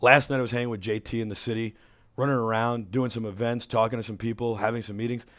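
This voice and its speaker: A man in his thirties hosting a talk show in a studio, hoarse from a cold, talking with upbeat energy into a headset mic. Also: mid-range voice, fast. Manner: energetic, enthusiastic promo patter. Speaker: host. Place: studio with city backdrop.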